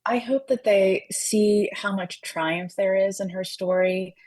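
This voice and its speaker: A woman's voice: medium pitch, steady tone, takes it slowly, darkish tone. A woman author being interviewed on radio, explaining her subject fluently and adding a questioning "right?" to her points. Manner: fluent and explanatory, often adds a tag "right?". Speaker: author and guest.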